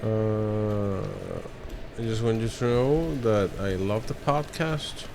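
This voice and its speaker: A man's voice: on the low side, slow pace, background noise. A drunk man, a native Spanish speaker with a Spanish accent, giving rambling, sweary, casual gameplay commentary as a streamer. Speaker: streamer, speedrunner.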